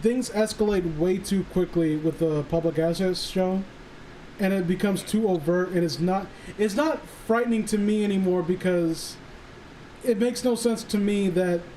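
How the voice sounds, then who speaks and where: A man chatting and reading aloud on a casual livestream, halting and sardonic, with some swearing. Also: high-pitched, warm tone, a little hiss or hum, low-quality recording. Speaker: streamer reading stories aloud. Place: voice call livestream.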